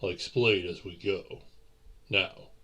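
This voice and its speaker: A centuries-old vampire gentleman, acted by a man for a romantic audio roleplay, speaks in a very low, husky voice. He is courtly and brooding, addressing his lover slowly, with formal, old-fashioned phrasing. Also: steady tone, heavily acted. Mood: courtly, tender.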